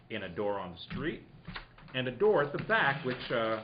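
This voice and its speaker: A man's voice: medium pitch, sing-song, a little slow, lo-fi sound, noisy background. Man playing a swooping, theatrical radio detective. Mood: storytelling, playful. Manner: playful, breaking off into asides and laughter. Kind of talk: hammy old-time radio mystery read, with joking ad-libs.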